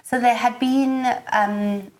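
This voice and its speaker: Earnest woman, unhurried. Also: on the high side, steady tone. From Wales. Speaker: spoken word poet, guest. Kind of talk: reflective chat about her poem.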